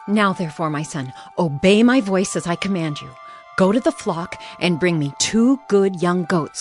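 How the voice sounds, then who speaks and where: A woman's voice: medium pitch, a little mellow, close to an everyday voice. A woman playing a firm, scheming mother of grown sons in a dramatized Bible, urging and commanding. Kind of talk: dramatized Bible audio acting. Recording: music under the voice.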